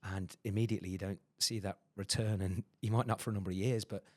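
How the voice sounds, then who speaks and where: A man hosting a podcast interview, talking quickly and emphatically with many fillers and restarts. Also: on the low side, fairly even intonation, no echo. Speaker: podcast host. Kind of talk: casual interview chat.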